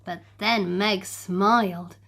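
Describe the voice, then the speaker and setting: A woman narrating a children's storybook in an expressive, playful way. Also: mid-range voice, gravelly. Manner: animated, slow and playful. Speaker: storybook reader.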